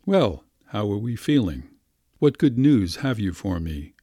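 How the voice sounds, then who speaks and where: A man's voice: lowish voice, very animated, unhurried, dark tone, no echo. A man voicing a polite middle-aged family doctor who hesitates in embarrassment. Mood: flustered. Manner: hesitant, mildly flustered.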